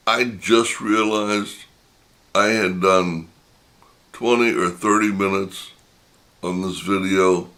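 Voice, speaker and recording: An older man with a deep, gravelly voice that is a touch raspy from a long session of talking. He speaks slowly and reflectively, pausing often as he tells a personal story to his viewers. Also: steady tone, bright, forceful tone. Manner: reflective and unhurried, many pauses. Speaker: channel host.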